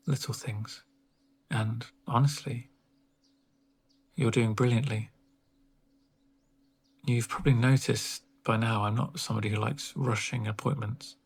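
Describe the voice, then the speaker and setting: A soft-spoken man plays a caring doctor in an ASMR roleplay. His voice is husky and creaky, sitting at a middle pitch. He speaks gently and reassuringly, leaving unhurried pauses between phrases. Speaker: ASMR performer playing a home-visit doctor.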